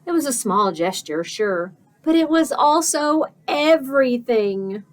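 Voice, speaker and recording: A woman reading a picture book aloud like a teacher, with expressive narration, dramatic pauses and stretched-out key words. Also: high-pitched.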